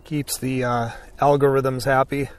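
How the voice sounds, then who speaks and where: A man teaches painting in a casual, informal way, thinking aloud in short phrases as he works. His voice sits at an ordinary middle pitch. He is recorded a little way from the microphone. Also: level tone, unhurried, distant mic. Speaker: painting instructor. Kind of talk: casual painting tutorial commentary.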